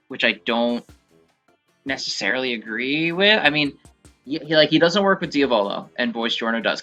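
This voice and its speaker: A man giving casual gameplay commentary, thinking aloud in a halting, self-correcting way as he explains things. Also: mid-range voice, sharp tone. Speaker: gaming video host. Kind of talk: casual rambling game commentary.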